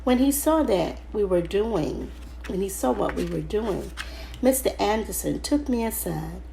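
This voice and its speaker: A woman reading a children's book aloud in first person, halting now and then over the words. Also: mid-range voice, animated, creaky, mellow, slight background noise, rough recording. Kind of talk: storybook read-aloud narration, first person.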